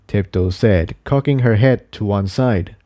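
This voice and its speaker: A man's voice: on the low side, a little slow, dark tone. A man narrating an adventure story from a script. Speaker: narrator reading fan fiction.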